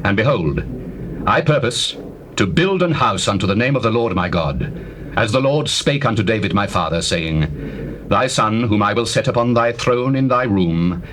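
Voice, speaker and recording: A man's voice: fairly low pitch, lively intonation, normal speed, close to an everyday voice. An actor playing a devout, stately king in dramatized scripture, speaking formally in archaic English. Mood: solemn, resolved. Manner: formal, declamatory. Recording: faintly noisy old tape recording.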